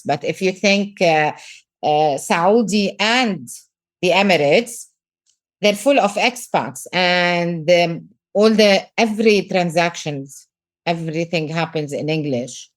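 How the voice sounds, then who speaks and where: A middle-aged woman from Lebanon with a Lebanese accent, a business coach talking earnestly in a podcast interview and repeating words for emphasis. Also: medium pitch.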